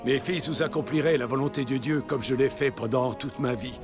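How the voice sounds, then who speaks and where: An actor playing a solemn, devout old patriarch giving commands in a biblical cartoon dub. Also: male voice, medium pitch, brisk, over music, natural voice. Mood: solemn blessing. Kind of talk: dubbed biblical cartoon acting.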